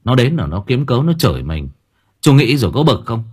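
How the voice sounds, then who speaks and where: Man as a husky, defiant young labourer. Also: mid-range voice, big pitch swings, a little fast, darkish tone. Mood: stubborn. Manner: curt, challenging, irritable. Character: defiant, hot-headed young male labourer, stubborn and brash.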